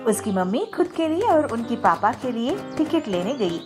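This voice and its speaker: A woman's voice: on the high side, big pitch swings, normal speed. A woman narrating scripted children's stories as a storyteller, with music behind her.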